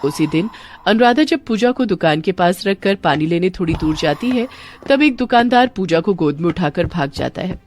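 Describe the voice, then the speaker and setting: A woman's voice: lowish voice, expressive, moderate pace, a little mellow. A woman narrating a scripted story as a storyteller, with music behind her.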